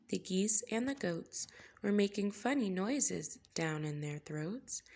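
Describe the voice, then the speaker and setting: Woman, medium pitch, unhurried, expressive children's read-aloud, gentle phrasing, playful emphasis. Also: slightly rough. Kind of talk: expressive storybook narration for young children.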